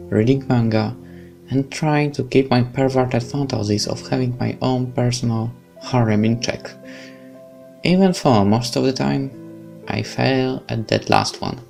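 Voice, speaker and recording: Young man, Polish accent, gravelly, slow, faint music behind. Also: mid-range voice, a little mellow. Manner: slow, chatty, stumbling over words. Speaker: let's play host. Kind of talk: reading a visual novel aloud with commentary.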